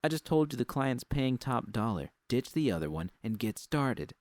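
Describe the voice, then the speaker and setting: A man's voice: mid-range voice, sing-song, normal speed, a little mellow, no room sound, pitched above the performer's own voice. A man voicing a brash, pushy female bar boss with a curt, huffy manner in an audiobook-style story. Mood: angry.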